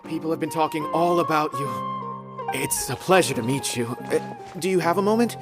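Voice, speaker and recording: A man's voice: a little high, brisk, music in the background, natural voice. A man voicing a polite, earnest officer in an anime-style game, courteous and slightly hesitant, with a worried seriousness.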